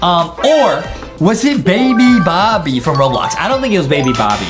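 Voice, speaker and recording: A young man giving excitable, slangy, jokey commentary for kids as he hosts a guessing game video. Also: sits high, normal speed. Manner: animated, slangy, jokey, repeats phrases. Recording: music behind the voice.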